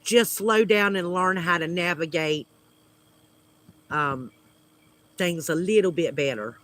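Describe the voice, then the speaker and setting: A Southern US man with a high voice chats slowly with his livestream viewers outside at home. He talks in a hesitant, friendly way, with lots of pauses and fillers. His voice has a bright, forward edge. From United States. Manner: hesitant, many fillers, friendly small talk. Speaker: homesteading channel host. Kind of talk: casual livestream chat with viewers. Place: outdoors at home.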